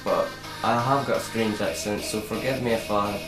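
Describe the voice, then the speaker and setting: A teenage boy talking to camera in a casual vlog, halting and repeating himself with many fillers. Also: lowish voice, steady tone. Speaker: vlogger. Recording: roomy echo with electronic music behind.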